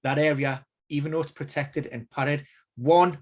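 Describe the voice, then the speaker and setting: Measured man giving expert analysis. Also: fairly high pitch, steady tone. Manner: measured, hedging, many fillers and restarts. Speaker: injury news expert. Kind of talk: casual expert analysis on a live stream.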